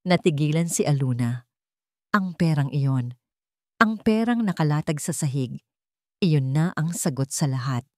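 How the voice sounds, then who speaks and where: A woman narrating a dramatic story in a measured, suspenseful way, as scripted audio fiction. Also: deep voice, expressive, a little mellow, no room sound, studio quality.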